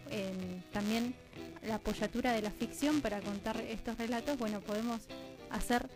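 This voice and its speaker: Radio guest, female, Argentine accent, higher register, reflective conversational delivery, faint music bed. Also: fairly even intonation, moderate pace, low-quality recording. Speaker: radio drama producer and guest.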